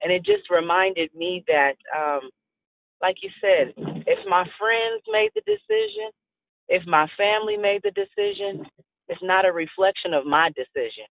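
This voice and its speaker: A man's voice: sits high, wildly swooping pitch, normal speed, creaky, dry recording. A man calling into a church prayer line, giving an earnest testimony and encouragement. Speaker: caller. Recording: phone line.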